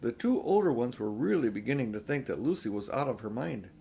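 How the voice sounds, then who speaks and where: A man's voice: mid-range voice, expressive, a little fast, creaky, warm tone, a little hiss or hum. A man narrating a children's storybook aloud as an audiobook reader.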